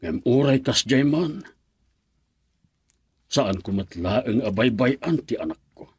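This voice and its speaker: A man with a Filipino accent acting a stern, unforgiving middle-aged father in a radio drama, cold and raspy. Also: medium pitch, expressive, takes it slowly, a little mellow, heavily acted. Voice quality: raspy, gravel-edged. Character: stern, unforgiving father protecting his daughter.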